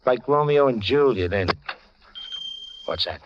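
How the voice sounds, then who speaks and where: A man's voice: mid-range voice, expressive, a little slow, noisy background, lightly acted. An actor playing a menacing, mocking gangster killer in a 1940s radio crime drama, with slangy tough-guy talk. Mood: cold menace. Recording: dull vintage radio recording.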